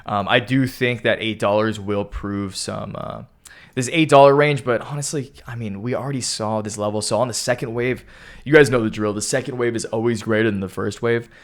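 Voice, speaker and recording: A young man with a fairly low voice, hoarse and losing it, who keeps stopping to clear his throat. He talks through market charts in a casual, pumped-up way, speaking into a close mic. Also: fairly even intonation, fairly quick. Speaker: stock market video host. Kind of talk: casual chart analysis talk.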